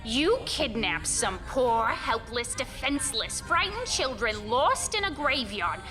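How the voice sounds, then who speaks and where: A woman's voice: on the high side, sing-song, a little slow, over music. A woman playing an incredulous, sardonic crypt colleague in a comic horror audio drama, speaking pointedly. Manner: pointed, incredulous, piling up adjectives.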